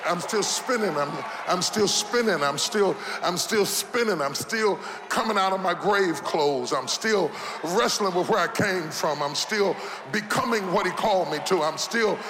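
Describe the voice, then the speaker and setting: A man's voice: high-pitched, background noise. Preaching man in his fifties, echoing hall. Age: 50s. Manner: impassioned preaching with repeated phrases and shouted peaks. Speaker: pastor. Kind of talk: sermon. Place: church sanctuary. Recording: long reverb of a large hall.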